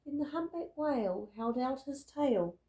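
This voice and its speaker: Slow, soft-toned woman reading a storybook. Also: sits high, expressive, roomy sound. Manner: dramatic, deliberate storytelling with emphatic words. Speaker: storybook reader. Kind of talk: expressive picture-book read-aloud in rhyme.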